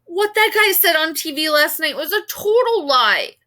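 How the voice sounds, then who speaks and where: Woman acting a shrill, indignant schoolgirl. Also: big pitch swings, moderate pace, bright, forceful tone, exaggerated voice. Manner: screaming, screechy indignation. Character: angry schoolgirl, shrill, scornful, stamping her foot.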